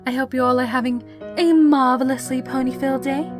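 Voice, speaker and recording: High-voiced woman hosting, guitar music behind. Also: steady tone, a little fast. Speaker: channel host and fan fiction reader. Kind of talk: informal scripted outro to listeners. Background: plucked guitar music.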